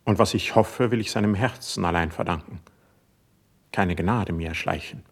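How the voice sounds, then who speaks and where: A sensitive, proud court poet in a classical radio drama. His low, husky voice delivers verse with formal, measured care. He sounds wounded and stubborn beneath the courtly phrasing. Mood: proud, insistent. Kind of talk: classical German stage verse in a radio play.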